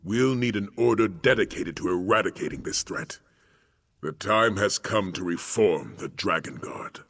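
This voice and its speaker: An actor playing a steadfast, pragmatic dragon hunter in a video game, with a formal, earnest delivery. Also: male voice, on the low side, normal speed, fairly bright tone, husky. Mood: earnest, determined. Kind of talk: dramatic video game dialogue.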